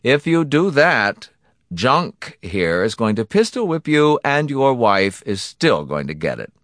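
A man performs an audiobook villain, a smooth and condescending boss. His pitch sits raised and moves with lively swings, and he stretches his mock-polite phrases with unruffled menace. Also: dry recording, studio quality. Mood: polite menace, annoyed. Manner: mock-polite, indulgent, drawn-out delivery. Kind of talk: audiobook character acting.

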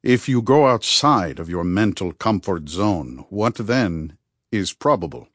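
A man voicing a thoughtful, probing male therapist and devoted friend in an audiobook, speaking in a measured, earnest, deliberate way. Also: sits low, expressive, fairly bright tone, dry recording, studio quality, heavily acted. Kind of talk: audiobook character dialogue.

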